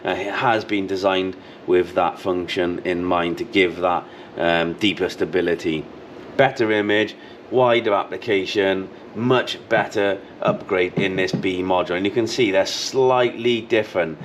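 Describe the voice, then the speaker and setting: Low-voiced man demonstrating a product. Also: steady tone, a little slow. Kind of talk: casual how-to product demonstration. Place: showroom with intercom display wall, indoors. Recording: clearly noisy room background.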